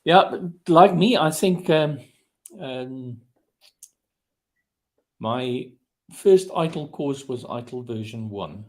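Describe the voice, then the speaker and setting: A man hosting a podcast over a video call, talking slowly with many fillers and restarts. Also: medium pitch, expressive, a little mellow. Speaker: podcast host. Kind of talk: casual interview chat.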